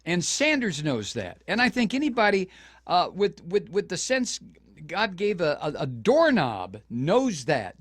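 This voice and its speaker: A man's voice: high voice, fairly bright tone. An older man hosting political talk radio, his voice riding up and down with feeling as he grows indignant. He talks on a close mic in a dry, dead room with no echo, stumbling and restarting as he presses his point. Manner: impassioned, indignant, with frequent restarts. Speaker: radio talk show host. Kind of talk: political commentary.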